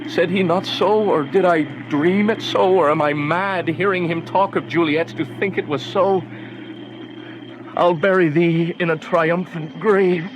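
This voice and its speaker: A young tragic lover of Shakespearean radio drama, passionate and despairing. He declaims his lament very slowly in a somewhat high male voice, with faint noise behind him. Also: steady tone, dull audio. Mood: dazed grief.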